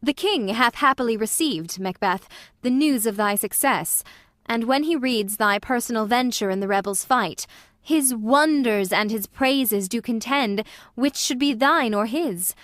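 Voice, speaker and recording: A woman's voice: fairly high pitch, measured pace, a bit bright, no room sound, studio quality. A woman playing a formal, admiring noble messenger of the king in a dramatic Shakespeare reading.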